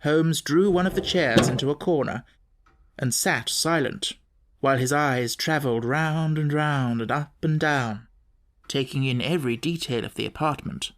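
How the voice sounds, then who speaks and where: A man reading literary fiction as an audiobook narrator, measured and precise in his storytelling. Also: on the high side.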